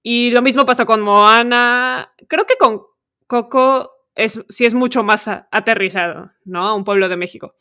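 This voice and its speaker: A woman hosts a podcast in a relaxed, thoughtful way. She lists examples one after another and lets some sentences trail off. Her voice is bright and sits a little high. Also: normal speed. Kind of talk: casual podcast conversation.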